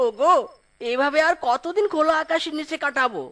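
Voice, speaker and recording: Beggar husband for a cartoon melodrama, voice actor performing: high voice, pleading, mournful, self-blaming. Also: a little fast, a bit bright. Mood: despairing. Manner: pleading, lamenting. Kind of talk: melodramatic cartoon story dialogue.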